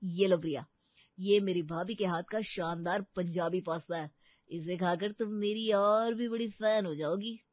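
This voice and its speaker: A woman's voice: on the low side, unhurried, no room sound, dull audio. A woman voicing an eager, lovestruck young college man in a story, earnest and boyish. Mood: coaxing.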